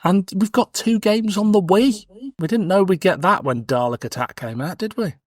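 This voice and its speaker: A man's voice: sits high, moderate pace, no echo, studio quality. A man hosting a podcast, chatting casually and reflectively about audio drama in a discursive way. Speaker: podcast host.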